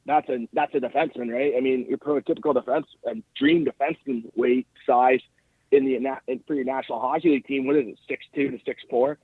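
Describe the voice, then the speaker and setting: An Ontario Canadian man talks sports casually as a call-in analyst. His voice is medium in pitch, and he is chatty and enthusiastic, tagging points with "right?". It comes over a dry, thin phone connection. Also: fairly even intonation, quick pace, husky, no echo. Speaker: podcast host calling in as guest analyst. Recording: narrow phone-line call.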